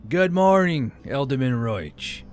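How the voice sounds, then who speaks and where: A man's voice: mid-range voice, lively intonation, takes it slowly, darkish tone. A man voicing a dutiful bearded town guard captain in a fantasy audiobook, with formal, old-fashioned speech.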